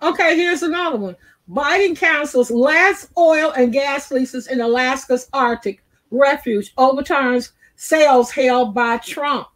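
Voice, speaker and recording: A woman's voice: high voice, unhurried, fairly bright tone, low-quality recording. A woman host on a video call, reading headlines aloud and debating bluntly.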